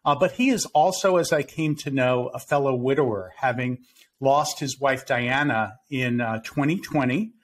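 A man's voice: medium pitch, moderate pace. Earnest man hosting a video-call podcast. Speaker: podcast host. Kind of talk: podcast interview. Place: home room on video call.

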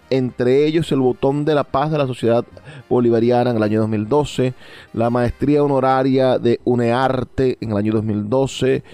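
A man's voice: mid-range voice, dark tone. A man with a Venezuelan accent presenting a radio programme about music and composers. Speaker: radio host.

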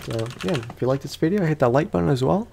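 A man's voice: a little high, animated, fast talker, gravelly, background noise. A young man, sounding tired, gives casual let's play commentary as a gaming host, rambling along in slangy, informal speech.

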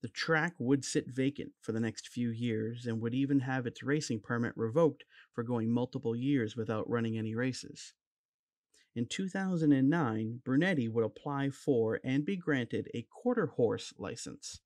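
A man reading a scripted documentary narration in an even, measured way. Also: medium pitch, no room sound, studio quality.

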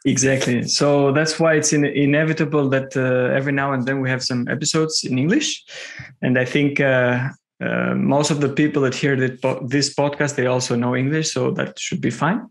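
A man's voice: mid-range voice, fairly even intonation, normal speed, creaky. A young man hosting a podcast over a video call, speaking hesitantly with many fillers and restarts. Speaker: podcast host. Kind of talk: casual interview chat.

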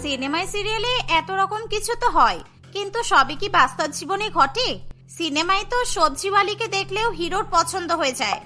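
A woman's voice: extremely high pitch, animated, fairly quick, sharp tone, faint music behind, full character voice. A woman playing a sensible, teasing younger sister in a cartoon story. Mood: reassuring, teasing.

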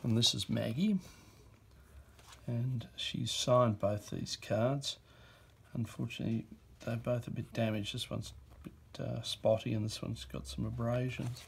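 A man presenting an auction lot, reading postcard captions aloud in short, unhurried bursts at a desk. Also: medium pitch, little pitch movement, creaky, a little hiss or hum. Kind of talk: reading out postcards while browsing. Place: indoor office desk.

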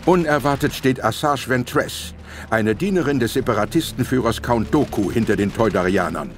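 A man's voice: mid-range voice, normal speed, a little hiss or hum. A man narrating an audio drama in a dramatic scripted style. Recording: music behind the voice. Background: prominent music.

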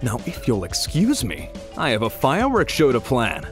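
An actor playing a gleeful, theatrical pyromaniac villain in an audio drama, taunting and grandiose. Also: male voice, medium pitch, huge pitch swings, moderate pace, over music.

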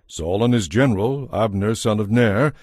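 Low-voiced man narrating scripture. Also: normal speed, a little mellow, dry recording, clean studio sound.

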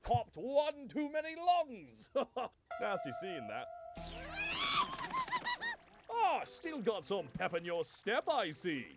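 A jovial cartoon superhero, pompous and grandiose. The delivery is high for a man and swoops wildly, with music behind. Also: sing-song, slow pace, long pauses, exaggerated voice. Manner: grandiose, theatrical. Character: booming, jovial, pompous muscle-bound male superhero. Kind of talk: anime parody dub acting.

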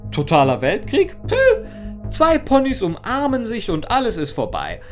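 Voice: mid-range voice, huge pitch swings, normal speed, a little mellow, faint music behind. A performer voicing an immortal, mischievous chaos creature in a theatrical fan audio monologue, mock-formal, sardonic and playfully rambling. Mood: gleeful mockery. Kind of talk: theatrical first-person character monologue in a fan audio story.

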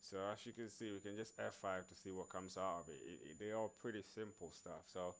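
A man narrating an informal programming screencast in a halting way, repeating words as he works. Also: low-pitched, fairly even intonation. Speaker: programming tutorial presenter. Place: screen recording voice-over.

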